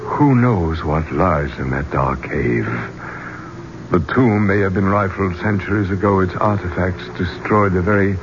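An actor playing an obsessed, grandiose gentleman archaeologist with theatrical, rhetorical delivery in a 1970s radio drama. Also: low voice, unhurried. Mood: awed dread. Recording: dull, noisy old radio recording.

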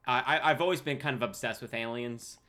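A man's voice: mid-range voice, fast, pushed and bright. A young man co-hosting a podcast in casual chat, laid-back and rambling with lots of fillers. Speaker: podcast co-host.

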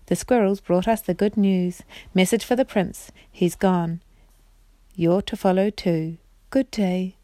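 A woman's voice: lowish voice, steady tone, warm tone, dry recording, close to an everyday voice. Woman voicing Glimfeather, a sleepy hooting owl. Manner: drawn-out hooting rhymes. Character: sleepy male owl speaking in hooting rhymes.

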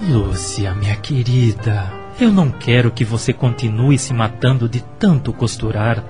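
A man's voice: mid-range voice, normal speed, over music, lightly acted. A man acting in a radio soap opera as a devoted suitor, earnest and coaxing. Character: Samuel, devoted, earnest suitor who runs a supermarket.

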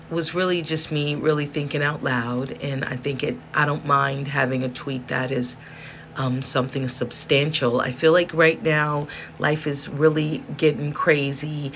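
A hoarse woman from Los Angeles hosting a solo podcast, talking in a rambling, chatty way that keeps interrupting itself. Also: deep voice, fairly bright tone. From South Central Los Angeles. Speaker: podcast host. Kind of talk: casual solo podcast monologue. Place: indoor room with space heater. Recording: faint background whirring noise.

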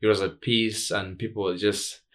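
A man's voice: fairly low pitch, little pitch movement, normal speed. A man with a Tanzanian accent hosting a podcast in an informal, slangy, casual interview style. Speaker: podcast host.

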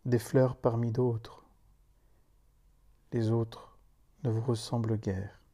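Man, solemn poetry recitation, very soft dark timbre, unhurried pace, grave, meditative, long pauses. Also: medium pitch, little pitch movement. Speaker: meditation leader reciting own poem.

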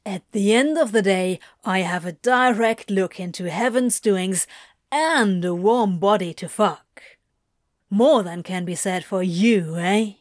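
Lady doing a cocky sneering demon guy for a story, hissy and contemptuous, slow and dry sound. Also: lowish voice, a little mellow, no echo. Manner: hissing, contemptuous. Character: insolent, sneering male demon with defiant swagger.

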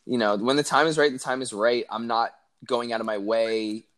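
A man's voice: mid-range voice, steady tone, normal speed, a bit bright. A man around thirty, a podcast guest, talking earnestly and reflectively in casual chat with lots of fillers. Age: 30s.

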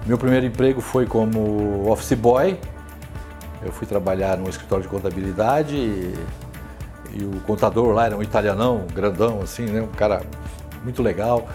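A man in his sixties with a São Paulo accent, recalling his working life in an interview, talking slowly with many restarts and tag questions. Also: fairly low pitch, warm tone, faint music behind. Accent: São Paulo Portuguese. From São Paulo, Brazil. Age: 60s. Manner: unhurried, hesitant, frequent tag questions and restarts. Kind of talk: reflective life-story interview.

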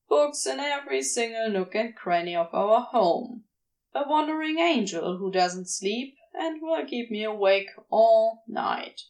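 Woman voicing a dry, sardonic male demon, unhurried. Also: fairly high pitch. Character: sardonic, grumbling male demon, secretly devoted and vulnerable. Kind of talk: fan fiction audio reading, acted dialogue.

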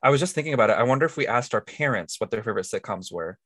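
A man's voice: medium pitch, animated, rapid-fire, fairly bright tone, no room sound, clean studio sound. A man co-hosting a podcast, explaining things in a casual, jokey, conversational way. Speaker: podcast co-host.

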